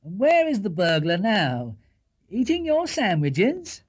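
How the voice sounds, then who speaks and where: A British man playing a bemused policeman in a storybook, slow and incredulous as he humours someone. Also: high voice, huge pitch swings, voice pushed up, full character voice. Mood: humouring, incredulous.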